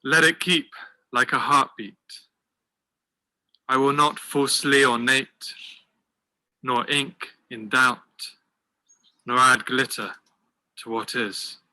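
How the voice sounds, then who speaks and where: A man giving a slow, deliberate poetry reading. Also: medium pitch, fairly even intonation, pushed and bright, low-quality recording.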